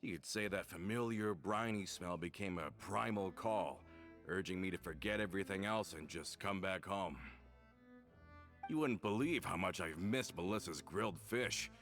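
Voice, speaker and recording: A man voicing a hearty, boisterous gang member in a video game, speaking loudly and jovially. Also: low-pitched, normal speed, faint music behind. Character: rough-and-tumble male gang member, boisterous.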